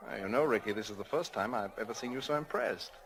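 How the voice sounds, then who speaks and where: A suave, corrupt police prefect in a 1940s radio play. He speaks in a fairly low register, genial and sly, needling with wry good humor. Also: fast talker, a bit bright, poor audio. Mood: wry, warning.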